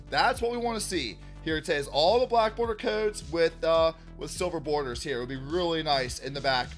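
Hyped man with a fading voice. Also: on the high side. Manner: upbeat, hyped, talks to viewers as y'all. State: losing his voice. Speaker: card-opening channel host. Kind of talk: excited card unboxing chat. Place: indoor room at a table.

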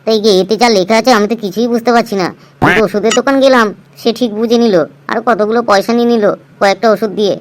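A woman's voice: on the high side, fairly even intonation, very fast, a little hiss or hum, lightly acted, poor audio. A woman playing a chatty, naive, cheeky clinic patient in a comic cartoon, wordy and colloquial. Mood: puzzled. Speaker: cartoon voice actor. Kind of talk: comic Bengali cartoon dialogue.

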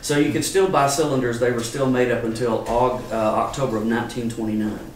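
A man's voice: mid-range voice, fairly even intonation, brisk. An older man gives an informal, folksy explanatory talk as an archivist demonstrating to a small group. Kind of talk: informal live lecture. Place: wood-paneled salon with small audience. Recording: echoey room, distant mic, faint noise.

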